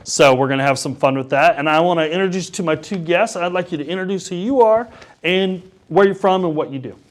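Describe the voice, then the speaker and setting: A man interviewing guests at a conference, casual and jokey, with quick quips and laughter. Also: high voice. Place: conference venue.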